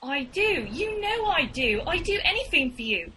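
A woman's voice: sits high, sing-song, moderate pace, bright, forceful tone, slight background noise, heavily acted. An actress playing a timid, lovesick young servant woman in a radio mystery, stammering and pleading. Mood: fearful. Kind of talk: radio mystery drama acting.

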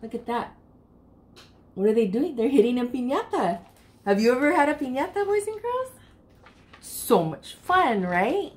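A woman in her thirties reading a picture book aloud expressively and speaking directly to children. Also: on the high side. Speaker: library storytime reader. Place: furnished room indoors.

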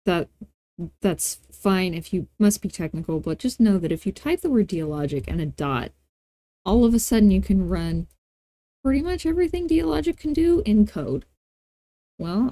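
Give this a woman narrating a casual screencast tutorial. Her voice is relaxed and warm, and the sound is dry and close. She talks informally, dropping playful asides as she explains each step. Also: mid-range voice, moderate pace, no echo. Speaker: tutorial host.

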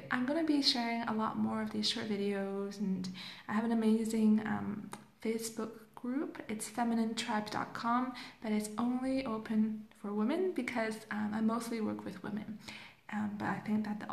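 A woman's voice: on the high side, creaky, echoey room. A young woman life coach, from Hong Kong and raised in Canada, talking loosely and reflectively into a phone camera with many fillers. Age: young adult. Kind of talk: casual live video talk. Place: small plain room indoors. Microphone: phone camera at arm's length.

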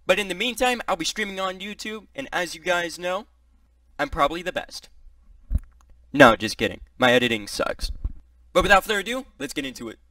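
A young man hosting a reaction video from his bedroom on a headset mic, upbeat and quick to joke and then take it back. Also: high-pitched, animated, sharp tone, no echo, distant mic. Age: young adult. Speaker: YouTube reaction host. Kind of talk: casual video intro. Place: small bedroom.

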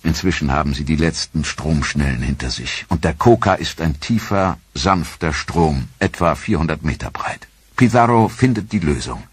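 Male documentary narrator, very low, husky voice, dry studio sound, measured delivery. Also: dry recording. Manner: measured, sober storytelling. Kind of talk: scripted narration.

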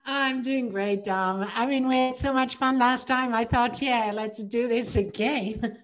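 Female podcast guest, French accent, slightly higher register, casual animated storyteller. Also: a little slow. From France. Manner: animated, laughs often, exclamatory. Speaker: podcast guest, psychotherapist and coach.

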